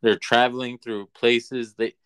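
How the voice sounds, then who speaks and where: A man co-hosting a podcast, chatting casually about movies in a measured, halting, conversational way. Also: mid-range voice, level tone, a bit bright, clean tone. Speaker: podcast co-host.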